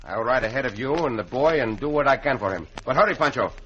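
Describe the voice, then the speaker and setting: Bright, gallant Mexican cowboy hero. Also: male voice, mid-range voice, expressive, quick pace, muffled recording. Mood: decisive. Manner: courteous, Spanish words mixed into English. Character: gallant, kindly Mexican cowboy hero, warm-hearted leader. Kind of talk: old-time radio western acting. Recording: faintly noisy old radio recording.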